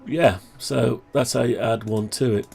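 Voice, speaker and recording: A man talking through a project update on his own software, rambling and thinking aloud, voice groggy from the flu. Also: mid-range voice, fairly even intonation, unhurried, soft background music. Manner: rambling, thinking aloud, frequent throat clearing. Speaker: hobbyist maker showing his own software. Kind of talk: casual screen-share project update.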